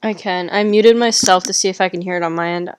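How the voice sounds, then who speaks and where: A woman's voice: fairly low pitch, fairly even intonation, fast. A woman cohosting a podcast in chatty, casual talk, swearing now and then, speaking into a headset microphone at home. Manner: chatty, swears casually, explains tech setup.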